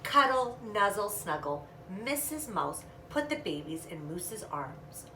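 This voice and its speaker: A woman's voice: mid-range voice, big pitch swings, slow. A woman reading a children's story aloud expressively, drawing out sound words and adding casual asides. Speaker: storytime reader. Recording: echoey room, distant mic, faint noise.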